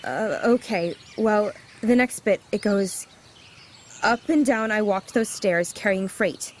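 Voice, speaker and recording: A woman with an American accent playing a startled, curious young schoolgirl in an audio drama, halting and wide-eyed. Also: mid-range voice, slight background noise, close to an everyday voice. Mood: nervous, curious.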